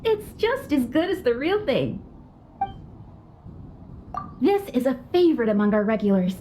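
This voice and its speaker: A young, helpful restaurant waitress in an anime game. Her voice is high and mellow, moving in a lively lilt as she speaks with courteous, presentational care. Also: unhurried, a little hiss or hum. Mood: polite, enthusiastic.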